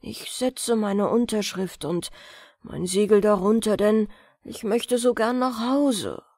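A woman's voice: medium pitch, takes it slowly, dark tone, dry recording, clean studio sound. A woman voicing a meek, frightened male landowner who pleads for his life in a fairy-tale audiobook. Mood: meek pleading.

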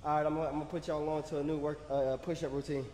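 A man's voice: a little high, flat delivery, racing, noisy background. A young man casually narrating his chest workout between sets, in a laid-back way with slang, recorded by a camera across a large indoor gym. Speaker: fitness vlogger. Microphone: camera on a stand across the room. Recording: echoey gym, far from camera.

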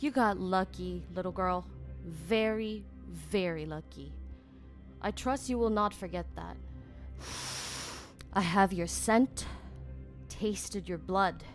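A woman's voice: lowish voice, takes it slowly, pauses often, faint music behind. A woman playing a cruel, taunting assassin for hire in an audio drama, speaking with menacing deliberation.

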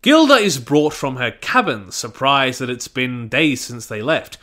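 A man's voice: medium pitch, very animated, unhurried, bright, forceful tone. A man reading a scripted review of an audio drama in a casual, wry manner.

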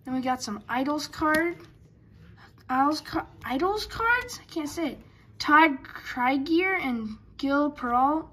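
A woman's voice: high-pitched, expressive, slow, pushed and bright, slight background noise, low-quality recording. A woman chatting casually as she opens trading cards, stumbling over player names. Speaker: card collector. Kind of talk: casual card-opening commentary. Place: indoors at a table.

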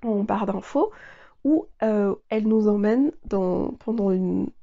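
A woman's voice: mid-range voice, takes it slowly, creaky, dark tone, lo-fi sound. Nasal young woman with a cold, sewing vlog. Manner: chatty, many fillers and restarts. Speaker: sewing vlogger. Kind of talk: casual talk to camera. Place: small bedroom sewing corner, indoors.

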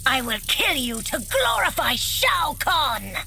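Savage mutant princess, haughty, menacing, wide-swinging pitch, game ambience noise behind. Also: female voice, big pitch swings, slow pace, creaky, exaggerated voice. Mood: furious. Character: fanged mutant warrior princess, savage, proud, devoted to father. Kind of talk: video game character acting.

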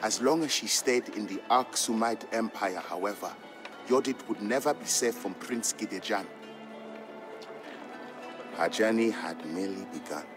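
Guy acting as patient ethiopian trader dad telling an old legend for a game, lowish voice, music behind. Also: lightly acted. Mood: reflective, storytelling. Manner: measured, fond storytelling. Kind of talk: scripted storybook narration in a game. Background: background music.

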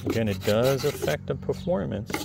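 A man with a soft, calm voice chatting casually to viewers while cleaning something outdoors on a lawn, filmed on a close-held camera. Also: mid-range voice, normal speed, gravelly, heavy background noise. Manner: chatty, rambling asides to the viewer. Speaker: video creator. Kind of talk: casual how-to talk while working. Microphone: camera held close, point of view.

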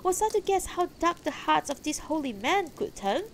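A woman's voice: sits high, sing-song, normal speed, pitched above the performer's own voice. Lady doing a huffy proud old knight in an audiobook, very formal, noise behind. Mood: defensive, indignant. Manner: indignant, pompous, formal.

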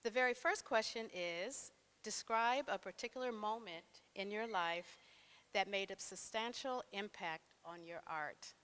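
A woman moderating a panel in a gallery, thanking sponsors and then asking questions with frequent pauses. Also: medium pitch, a bit bright, clear, resonant tone. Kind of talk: opening remarks and questions at a panel. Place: art gallery, indoors.

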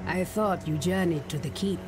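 A woman playing a sombre, guarded swamp witch in a dark fantasy game, speaking in a measured way. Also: lowish voice, close to an everyday voice. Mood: guarded, sceptical. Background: game music.

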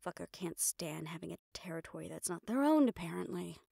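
A woman's voice: lowish voice, expressive, fairly quick, creaky, mellow, slightly rough, no room sound, heavily acted. Woman acting a dry, capable mafia assistant, close-miked. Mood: dry, confident. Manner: dry, familiar, businesslike. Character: capable, sardonic female personal assistant to a mafia boss. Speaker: audio roleplay voice actor. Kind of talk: intimate scripted audio roleplay, one-sided dialogue.

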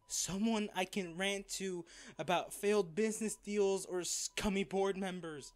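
A man acts out a wealthy lover in a romantic audio drama. His voice sits somewhat high for a male and moves at an unhurried, slow pace. He sounds tender, protective and sincere, often halting as he addresses his partner. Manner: tender, halting, emotionally earnest.

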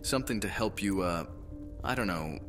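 A wry Roman soldier, played by a man, inclined to chide his comrades. The voice is low, with music clearly underneath, as in a fantasy audio drama. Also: lively intonation, moderate pace. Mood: chiding. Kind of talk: immersive fantasy roleplay audiobook acting.

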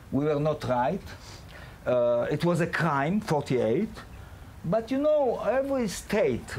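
Man lecturing in halting English. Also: a little high, darkish tone, slight background noise. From Israel. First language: not English. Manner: halting, non-native phrasing with restarts. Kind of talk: public lecture.

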